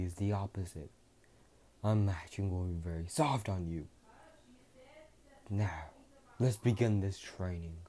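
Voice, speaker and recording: A man voicing a proud, arrogant alien warrior king who barks gruff orders. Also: lowish voice. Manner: gruff, scolding, shouting.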